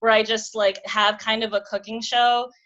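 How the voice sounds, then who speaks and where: A woman's voice: on the high side, flat delivery, normal speed, bright, forceful tone, muffled recording. A woman in her twenties, a comedian guest, chatting casually and rambling with lots of fillers and giggles. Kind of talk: casual interview chat.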